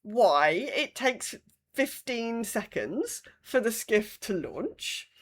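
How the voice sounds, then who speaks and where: A woman's voice: on the high side, sing-song, very slow. A woman co-hosting a casual gaming chat podcast, griping bluntly in an exasperated, sweary way. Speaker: podcast co-host. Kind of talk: casual chat about a video game.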